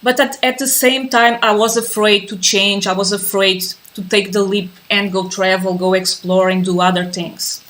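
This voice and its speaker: Calm woman teaching a live class. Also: mid-range voice, moderate pace, hardly any pauses. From Azores, Portugal. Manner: calm, patient, repeats herself while waiting for viewers. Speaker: yoga and mindfulness teacher. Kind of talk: live-streamed class introduction.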